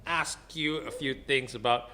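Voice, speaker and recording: A man's voice: mid-range voice, measured pace, pushed and bright. A man from Malaysia hosting a casual podcast interview, asking questions and prompting the guest with a frequent "right?". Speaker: podcast host.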